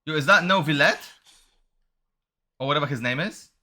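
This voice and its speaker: A man's voice: fairly high pitch, moderate pace, sharp tone. A man giving casual, slangy, reactive gameplay commentary as a streamer. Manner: slangy, reactive, bro-heavy talk. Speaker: gaming streamer reacting to a playthrough. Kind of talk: casual gameplay commentary, reading dialogue aloud.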